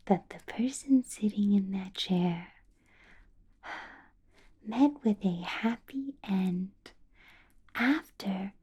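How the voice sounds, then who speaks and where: A woman playing an ancient, possessive vampire boss in an ASMR roleplay, whispering softly with slow, deliberate, teasing menace. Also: medium pitch, full character voice. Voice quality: soft breathy whisper. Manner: slow, deliberate, teasing questions with lingering pauses. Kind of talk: ASMR roleplay, personal attention.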